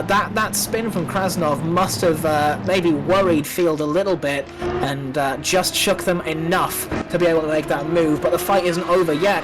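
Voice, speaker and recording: A man doing live play-by-play commentary on a sim race, slightly nasal from a coming cold, with many fillers and restarts. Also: on the high side.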